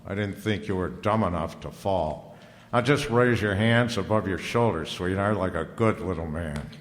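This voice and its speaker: A man acts out a wry, hard-boiled private eye from a radio detective play. His voice sits low and carries through a reverberant hall. He tosses off wisecracks as he tells his case. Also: moderate pace, lightly acted. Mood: wry, confident. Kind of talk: old-time radio detective drama, scripted. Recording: echoey hall, long reverb, faint music.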